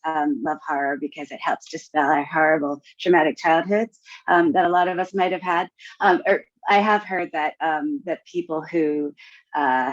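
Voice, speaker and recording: A woman with a low voice talks casually as a podcast guest. Her audio is dry and slightly dull. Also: dry recording. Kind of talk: casual discussion.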